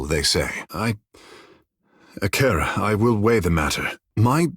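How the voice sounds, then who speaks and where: An actor playing a vain, flamboyant water-shaping artist in a video game, with a theatrical, lofty and formal way of speaking. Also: male voice, lowish voice, husky, dry recording, studio quality, heavily acted. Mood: wry, measured. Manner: theatrical, lofty, formal island-noble phrasing.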